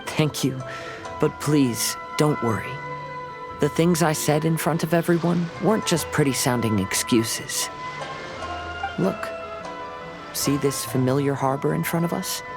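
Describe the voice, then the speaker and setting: Calm young samurai, music behind. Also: male voice, fairly high pitch. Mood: calm, reassuring. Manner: calm, measured, contemplative. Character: gentle, reflective young wandering samurai man. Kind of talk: scripted video game dialogue. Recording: loud music under the voice.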